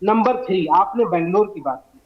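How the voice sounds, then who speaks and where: ऊँची आवाज़ वाला पुरुष पैनलिस्ट, थोड़ी दबी रिकॉर्डिंग. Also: male voice, sits high, lively intonation, normal speed, highs cut off. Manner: methodical, numbers his points. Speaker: debate panelist. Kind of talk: argumentative TV debate.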